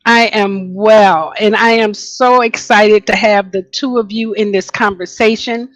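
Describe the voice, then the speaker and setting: A woman hosting a video call panel, introducing her guests in a warm, chatty way. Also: mid-range voice, fairly even intonation, measured pace, no room sound, rough recording. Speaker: host.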